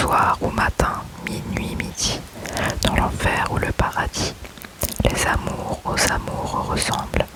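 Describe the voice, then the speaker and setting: A man softly whispering love poems aloud in a close-up ASMR reading, unhurried and gentle. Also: low-quality recording. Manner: slow, soft unvoiced whisper throughout. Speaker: ASMR creator.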